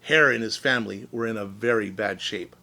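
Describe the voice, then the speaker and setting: A man's voice: lowish voice, brisk, gravelly, bright, forceful tone. A man reading a children's story as a teacher, expressively, explaining things in simple words.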